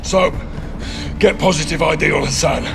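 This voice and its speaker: A brisk special forces leader in a military game. His voice is high and gravelly, commanding and clipped, with loud music and gunfire around it. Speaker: soldier character. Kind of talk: military video game acting.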